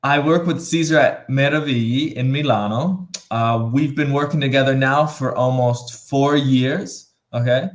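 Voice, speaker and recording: A man's voice: mid-range voice, fairly even intonation, a little slow, hardly any pauses. A man from Buffalo, co-hosting a casual live stream in a chatty, joking way. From Buffalo, New York. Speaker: co-host.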